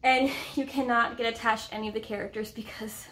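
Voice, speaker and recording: A woman's voice: on the high side, fairly even intonation, fast, fairly bright tone. A woman in her thirties talking casually and enthusiastically as a book vlog co-host, with playful emphasis, in a room at home. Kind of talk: casual book review chat. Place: indoor room at home with bookshelves.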